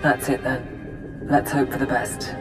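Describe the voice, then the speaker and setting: A woman's voice: rumbling low, little pitch movement. An actress playing a dry, pragmatic female military intelligence adviser, giving businesslike briefings in a video game. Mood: grave, factual. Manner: briskly factual, dry-witted, businesslike. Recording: game audio under loud music. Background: orchestral game music.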